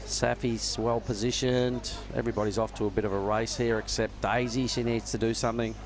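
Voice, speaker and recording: A man giving relaxed, knowledgeable live surf contest commentary from a judging tower. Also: medium pitch, a little fast. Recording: noisy outdoor ambience with traffic.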